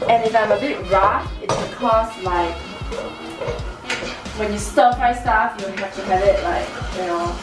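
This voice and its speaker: A woman's voice: mid-range voice, a little slow, far from the mic. A young woman chatting casually and playfully while cooking at home, laughing at her own mistakes. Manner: giggly, self-mocking, playful. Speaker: home cook vlogger. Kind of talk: casual cooking vlog chat. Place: small home kitchen, indoors. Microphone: camera across the room. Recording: roomy kitchen sound from off-mic with music.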